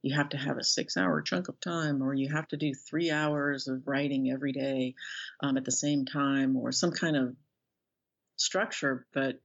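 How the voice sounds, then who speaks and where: A middle-aged American woman being interviewed as an author, speaking thoughtfully and haltingly as she searches for words. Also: extremely low pitch, fairly even intonation, gravelly, lo-fi sound. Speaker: interview guest, author and professor. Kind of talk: reflective interview conversation.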